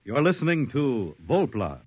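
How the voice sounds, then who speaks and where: A man's voice: medium pitch, very animated, measured pace, a little mellow, slightly rough, no echo. A man announcing a program in the style of 1950s radio. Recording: dull vintage radio recording with music.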